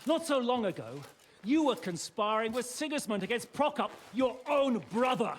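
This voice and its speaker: An actor playing a blunt, bold mercenary captain with a sardonic, challenging manner in a video game drama. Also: male voice, very high-pitched, lively intonation, moderate pace, slight background noise. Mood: scornful, accusing.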